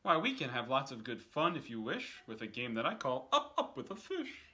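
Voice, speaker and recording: Man doing a showy, bright-voiced storybook cat. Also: medium pitch, wildly swooping pitch, moderate pace, heavily acted. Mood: breezy, reassuring. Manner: showy, reassuring, exuberant. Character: playful, boastful male cat showman from a picture book.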